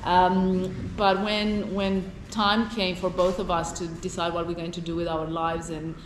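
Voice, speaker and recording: A woman with a Romanian accent telling her own story informally on a live panel, halting and repeating words as she goes. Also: lowish voice, little pitch movement. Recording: echoey room with clear background noise.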